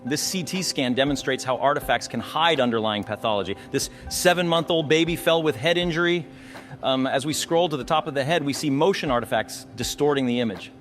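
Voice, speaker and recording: A man's voice: mid-range voice, brisk, a bit bright. Man explaining medical cases over music. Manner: instructional, poses questions to the viewer. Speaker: radiology instructor presenting cases. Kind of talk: explanatory case walkthrough narration.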